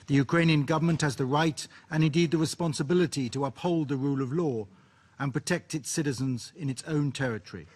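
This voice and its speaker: A man's voice: on the high side, fast, a little mellow. A man making a formal prepared statement as a diplomat.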